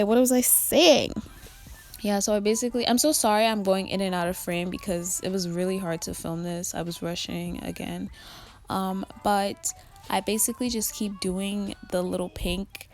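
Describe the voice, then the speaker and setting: A young woman with a raspy, just-woken voice, rambling and self-critical as she narrates a vlog into her phone. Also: medium pitch, steady tone, normal speed, soft background music. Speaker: nail art vlogger. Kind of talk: casual voice-over narration.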